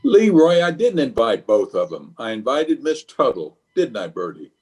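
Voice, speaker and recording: A man acts out a blustering middle-aged commissioner from radio comedy. He is pompous and easily exasperated, hemming and hawing with a very animated, swooping melody. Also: medium pitch, normal speed, lightly acted. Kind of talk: old-time radio comedy acting.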